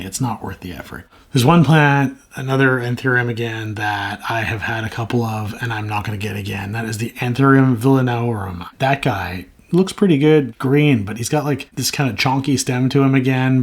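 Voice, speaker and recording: A man's voice: medium pitch, husky. A man with a sore throat, chatting casually and frankly to camera as the host of a plant channel. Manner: rambling, frank, self-mocking.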